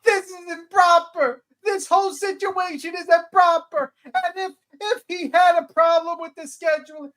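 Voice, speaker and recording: A woman playing a flustered, indignant litigant who pleads her case in a halting, repetitive way in a dramatic reading of a court hearing. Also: very high-pitched, steady tone, fairly bright tone. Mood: indignant, pleading.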